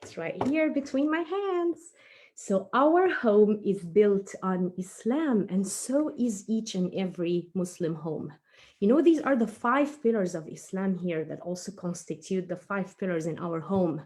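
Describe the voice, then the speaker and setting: A woman's voice: medium pitch, lively intonation, unhurried, a little mellow. Woman teaching children. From Egypt. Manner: engages children with questions and playful explanations. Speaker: preschool teacher and children's storyteller. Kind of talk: introducing herself and telling a children's story live.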